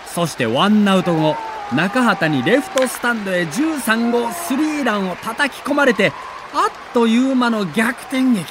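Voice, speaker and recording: Male documentary narrator, moderately high pitch, dramatic and emotive scripted read as a reporter, faint background noise. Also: animated, unhurried.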